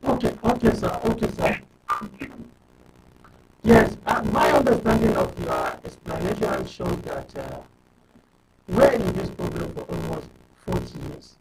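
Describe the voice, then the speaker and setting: A man's voice: extremely low pitch, huge pitch swings, measured pace, creaky. A man hosting a live discussion, interjecting deferentially as he moderates. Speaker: host. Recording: noisy, distant mic with clicks.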